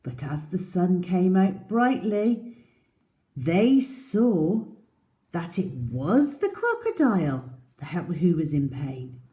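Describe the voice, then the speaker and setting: A woman reading a storybook aloud to young children as a teacher, in a deliberate, expressive manner. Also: lowish voice.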